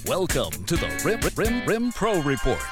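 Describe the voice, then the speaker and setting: Low-voiced woman announcing over loud music. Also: big pitch swings, normal speed. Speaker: show intro announcer. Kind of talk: scripted promo voice-over.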